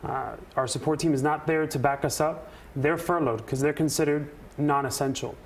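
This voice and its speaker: A man speaking as a union representative in a news interview, earnest and emphatic, with deliberate phrasing. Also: fairly high pitch, fairly even intonation. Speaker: air traffic controller and union representative, interview guest. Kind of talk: live news interview answers.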